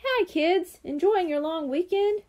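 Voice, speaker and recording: A woman's voice: very high-pitched, measured pace, darkish tone, pitched above the performer's own voice. A woman voicing a friendly older male school janitor in a children's storybook, easygoing and cheerful.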